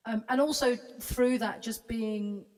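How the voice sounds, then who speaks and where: A woman's voice: on the high side, measured pace, darkish tone. A singer-songwriter, a woman, chatting casually between songs, hesitant and full of fillers. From Britain. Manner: hesitant, many fillers and restarts. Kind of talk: casual chat introducing a song. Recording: reverberant room, distant mic, music behind.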